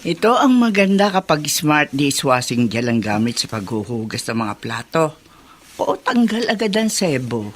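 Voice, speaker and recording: A woman's voice: a bass voice, big pitch swings, moderate pace. A woman playing a fretful, outspoken old grandmother in a radio drama, with exclamatory, emphatic delivery. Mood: busy, cheerful. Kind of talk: Filipino radio drama acting.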